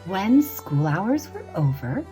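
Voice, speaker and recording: A woman telling a spooky old tale as scripted narration, theatrical and deliberate, drawing out words for drama. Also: mid-range voice, big pitch swings, dark tone. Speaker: storyteller, narrator. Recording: music behind the voice. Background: instrumental music.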